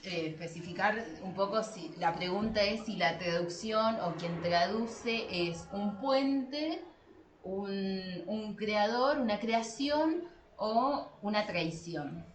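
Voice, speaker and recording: A woman's voice: medium pitch, expressive, takes it slowly, a little hiss or hum. A woman with an Argentine Spanish accent co-hosting a podcast, debating in a casual, conversational style. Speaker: podcast co-host. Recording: echoey, reverberant room.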